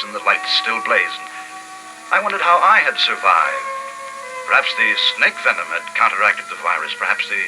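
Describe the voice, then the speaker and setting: An actor playing a haunted, desperate lone survivor, narrating dramatically and haltingly in a 1950s-style radio drama. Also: male voice, mid-range voice, close to an everyday voice, poor audio. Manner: dramatic, halting, hushed to urgent. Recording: old radio broadcast, heavy music bed. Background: loud dramatic music.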